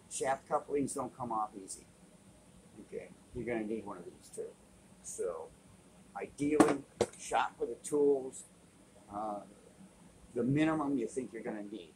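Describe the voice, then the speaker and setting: A man's voice: fairly low pitch, background noise. A man in his fifties, host of a sailing channel, casually explaining tools in a plain, practical way with frequent fillers, recorded on a webcam in a cluttered indoor workshop.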